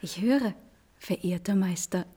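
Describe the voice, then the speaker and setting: An actress playing a witty young gentlewoman in a period radio drama, forthcoming and playfully polite. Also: mid-range voice, unhurried, breathy edge. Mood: playful, admiring.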